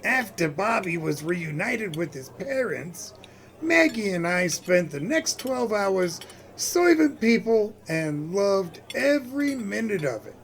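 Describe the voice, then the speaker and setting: Guy acting a folksy cafe owner in a radio play, high creaky voice, slow and swoopy. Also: very animated, slight background noise, full character voice. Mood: warm storytelling. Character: George, cheerful folksy cafe owner narrating his Christmas.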